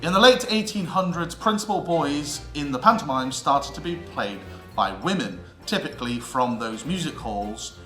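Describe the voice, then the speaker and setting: Bright, theatrical British man presenting. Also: a little high, expressive, normal speed. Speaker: presenter of a theatre history series. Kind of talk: scripted educational narration. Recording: roomy echo with music underneath.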